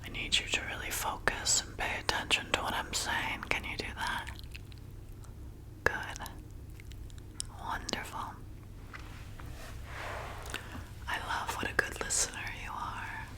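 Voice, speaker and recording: A woman playing a flirty, commanding vampire bartender in an ASMR roleplay, softly coaxing and hypnotizing in a breathy whisper. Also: close to an everyday voice. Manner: slow, soothing, coaxing, deliberate.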